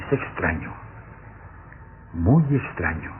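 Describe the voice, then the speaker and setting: Radio-serial police inspector: anxious, earnest, highly animated delivery, thin band-limited sound over music. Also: male voice, slow pace, husky, heavily acted. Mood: puzzled, formal. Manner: dramatic, thinking aloud and questioning. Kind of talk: radio drama acting. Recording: tinny old radio recording, music behind.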